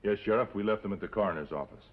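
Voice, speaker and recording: An actor playing an upright, steady masked hero of a 1950s television western, terse and authoritative, with an American accent. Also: male voice, fairly low pitch, rapid-fire, long reverb tail. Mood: calm, grave. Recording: muffled old TV soundtrack, faint noise.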